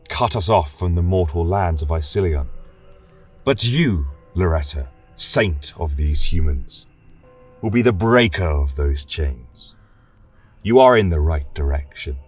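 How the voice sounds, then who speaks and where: Noble male angel warrior for fantasy tale played by a man: gentle, formal, unhurried, breathy-edged, music beneath. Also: deep voice, voice pushed down, full character voice. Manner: soft, solemn, formal. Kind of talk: fantasy audio tale acting.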